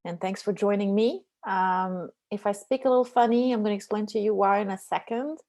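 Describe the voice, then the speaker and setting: A woman's voice: medium pitch, fairly quick. Middle-aged French woman, accented English, easygoing presenter over a computer mic. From France. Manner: relaxed, many ums and uhs. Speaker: beekeeping presenter. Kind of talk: online slide presentation.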